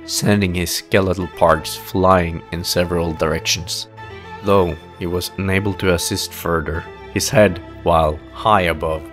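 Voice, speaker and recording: Casting: male narrator, very low voice, dramatic fantasy audio drama delivery over clear music. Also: fairly bright tone. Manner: dramatic storytelling.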